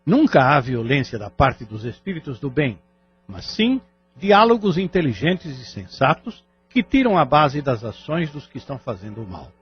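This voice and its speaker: A man's voice: medium pitch, sing-song, moderate pace, muffled recording. A man delivering scripted didactic commentary in a formal, explanatory manner. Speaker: narrator-commentator. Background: music.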